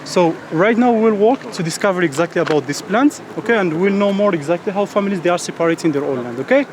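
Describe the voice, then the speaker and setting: A man's voice: high voice, animated, fast, noisy background. A man from Morocco with an Amazigh accent, guiding a tour and explaining local customs, often checking in with "okay?". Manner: frequent "like", "exactly" and "okay?" checks. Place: outdoors in a village.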